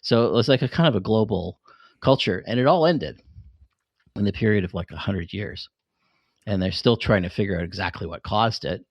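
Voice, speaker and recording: Low-voiced man chatting on a podcast. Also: moderate pace, dry recording. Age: middle-aged. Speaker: podcast host and fiction writer. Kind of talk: casual podcast conversation.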